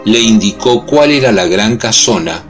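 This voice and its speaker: A man's voice: lowish voice, expressive, moderate pace. A man delivering scripted narration for a radio soap opera. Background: music.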